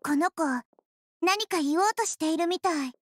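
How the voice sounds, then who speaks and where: A woman voicing a gentle young girl goddess who speaks softly and politely, calm and wise, in a video game. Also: very high voice, normal speed, slight background noise. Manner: soft, composed, polite. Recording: game audio with music.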